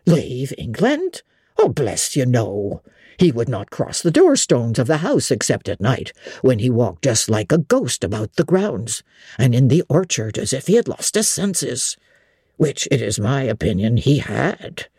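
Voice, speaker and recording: A woman's voice: very deep voice, big pitch swings, unhurried, dark tone, slightly rough, no echo, clean studio sound, voice pushed down, full character voice. A woman voicing an old male country servant, who tells a grim tale in old-fashioned dialect. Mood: gossiping. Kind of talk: dramatic audiobook reading.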